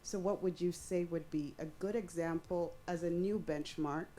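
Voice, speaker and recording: Loud-voiced woman asking a long question. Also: on the low side, fairly even intonation, moderate pace, slight background noise. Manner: long-winded, earnest questioning. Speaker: audience member asking a question. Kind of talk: question from the floor at a panel.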